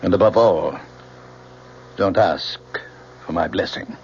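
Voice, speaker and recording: A man's voice: low voice, slow, slightly rough, exaggerated voice. An actor in an old-time radio drama playing a stern, disapproving middle-aged father, grave and reproachful in short phrases. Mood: cold, reproachful. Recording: muffled old radio recording, faint noise.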